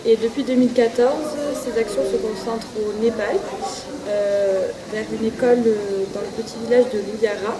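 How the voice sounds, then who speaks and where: A 21-year-old woman student presenting her association to the camera, pausing often with fillers. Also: fairly high pitch, fairly even intonation, noisy background. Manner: hesitant, many fillers.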